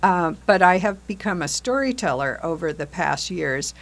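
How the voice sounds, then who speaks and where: An older woman speaks as a guest in a casual interview and thinks aloud about performing. Her voice sits on the low side. She talks haltingly, pausing and restarting often. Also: animated. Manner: hesitant, many fillers and restarts. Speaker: guest, theater group facilitator.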